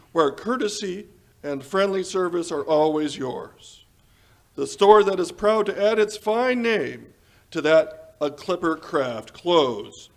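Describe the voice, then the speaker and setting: A man announcing in the style of a 1940s radio sponsor spot, with polished, salesmanlike delivery. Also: sits high. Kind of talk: 1940s radio advertisement and narration.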